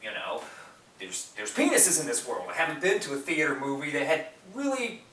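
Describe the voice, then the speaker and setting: A man's voice: fairly high pitch, sing-song, a little fast, sharp tone. Roomy, distant man chatting about film. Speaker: podcast co-host. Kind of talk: casual film discussion. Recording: roomy, distant mic, faint noise.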